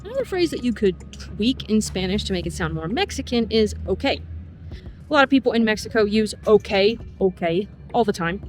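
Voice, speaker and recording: A middle-aged woman, a Spanish teacher, explaining phrases in a casual vlog lesson while walking outdoors, slowing down to model each phrase. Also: medium pitch, big pitch swings, soft background music.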